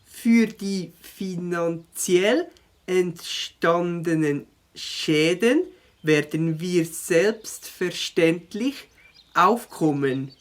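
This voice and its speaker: A woman's voice: lowish voice, drawn-out words. A woman voicing a young male beaver, caring and determined, in a children's audio drama, earnest and Swiss-accented. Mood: careful reading aloud.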